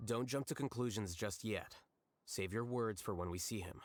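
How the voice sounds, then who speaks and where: A man's voice: fairly low pitch, moderate pace, a little hiss or hum, lightly acted. A man playing a cool-headed, reserved investigator in a video game. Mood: calm, cautioning.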